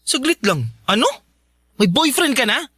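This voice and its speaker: A man's voice: very high voice, big pitch swings, normal speed, bright, forceful tone, breathy edge, full character voice. A man playing an earnest, suspicious young best friend in a Filipino radio drama, hesitant in manner. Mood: surprised.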